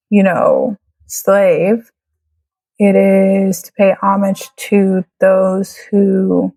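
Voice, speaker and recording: Slow gravelly woman in her late twenties. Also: mid-range voice, a little mellow, poor audio. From Trinidad, raised in Florida. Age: late 20s. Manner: reflective, laughs often, many fillers. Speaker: birth photographer, guest. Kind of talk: casual interview chat.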